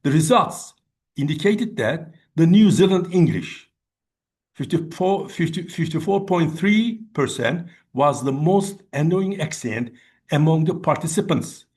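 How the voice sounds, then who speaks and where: Slow, measured Turkish-accented man. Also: on the high side, lively intonation, a little mellow. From Turkey. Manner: measured, restates and rephrases with "I mean". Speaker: academic researcher presenting. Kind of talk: conference presentation.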